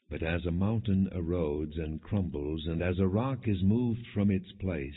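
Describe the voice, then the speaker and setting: A man narrating scripture as an audiobook in a solemn, measured, non-dramatized reading with deliberate phrasing. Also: low voice, breathy edge. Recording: muffled, narrow phone-like sound.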